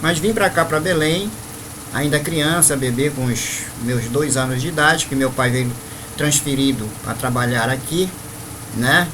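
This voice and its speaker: A man in his fifties from northeastern Brazil, telling his spiritual life story to viewers at an unhurried pace with frequent tag questions. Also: medium pitch, background noise. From Maranhão, Brazil. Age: 50s. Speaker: spiritual leader.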